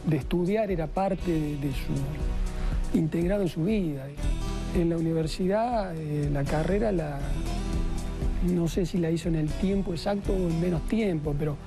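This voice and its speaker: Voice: medium pitch, a little slow. A person, remembering a family member in an interview, hesitant with many restarts. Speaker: interviewee, relative. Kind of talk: reflective interview. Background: music.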